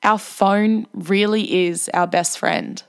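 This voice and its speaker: A woman's voice: mid-range voice, steady tone, slow, creaky, smooth. A woman in her twenties hosting her own podcast, reading a scripted narration in a candid, self-deprecating way. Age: 20s. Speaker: podcast host.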